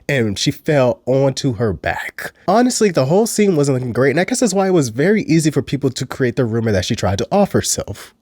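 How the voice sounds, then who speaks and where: A man's voice: medium pitch, sing-song, brisk, hardly any pauses, darkish tone. A man hosting a chatty, sassy show recap, his voice a little off while getting over a cold. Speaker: commentary channel host. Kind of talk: casual recap commentary.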